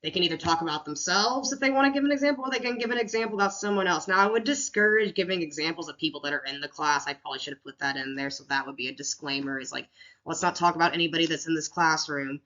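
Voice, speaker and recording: A woman teacher with a deep voice talks casually through a classroom lesson plan, with many fillers and restarts. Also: fast talker, pushed and bright, lo-fi sound.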